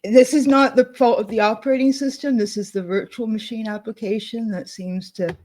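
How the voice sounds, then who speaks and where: A woman co-hosting a casual chat, thinking aloud as she clicks through software at her desk, with frequent laughs. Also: a little high, fairly even intonation, normal speed. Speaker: co-host demonstrating software. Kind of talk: casual screen-share chat.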